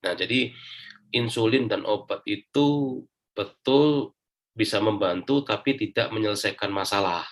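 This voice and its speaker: A man with a fairly low voice and an Indonesian accent speaks as an interview guest. He gives his own account casually, explaining it step by step in colloquial speech. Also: unhurried, long pauses, poor audio. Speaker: interview guest giving a personal testimonial. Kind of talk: casual spoken personal account.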